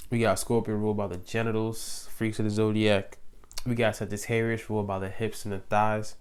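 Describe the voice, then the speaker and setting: A man's voice: on the low side, smooth. A young man with a cold, sniffling, explaining astrology in loose, slangy casual talk with lots of fillers. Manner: laid-back, slangy and loose, many fillers. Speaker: astrology YouTuber.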